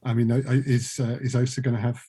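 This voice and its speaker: A man hosting a relaxed group interview, talking in a jokey, rambling, self-deprecating way. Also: medium pitch, fast talker, husky. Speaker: podcast host and producer. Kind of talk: casual group chat interview.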